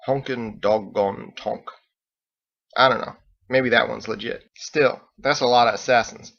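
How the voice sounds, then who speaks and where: A man voicing a wry, smug and courtly male seafarer in an audiobook, with formal, unhurried phrasing. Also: mid-range voice, fairly even intonation, creaky, a bit bright, dull audio. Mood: musing. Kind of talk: character voice in audiobook narration.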